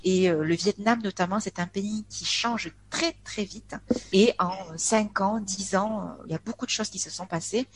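A woman's voice: mid-range voice, normal speed, a little hiss or hum. Hesitant French woman in her forties. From France. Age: 40s. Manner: hesitant, with many filler sounds and restarts. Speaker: podcast guest. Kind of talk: casual interview chat.

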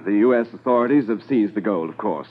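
Man playing commanding police officer, low voice, measured, authoritative, tinny 1950s radio drama recording. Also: slight background noise, natural voice. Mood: brisk, businesslike. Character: commanding male Mountie detachment officer narrating a case.

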